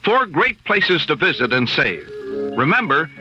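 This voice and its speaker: A man's voice: fairly high pitch, sing-song, measured pace, over music. A man reading a scripted, upbeat radio commercial as the announcer. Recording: dry, dull radio recording.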